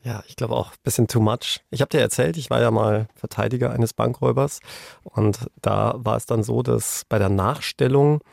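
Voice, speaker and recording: A man's voice: medium pitch, normal speed, creaky, a little mellow, dry recording, clean studio sound. A man who works as a defence lawyer, chatting casually and telling anecdotes as a podcast co-host.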